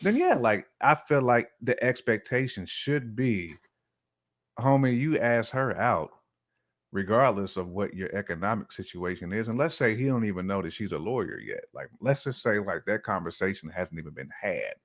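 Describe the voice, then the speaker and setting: Male podcast guest, lowish pitch, casual and slangy, conversational opinion delivery. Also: big pitch swings, normal speed. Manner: informal, colloquial speech with slang.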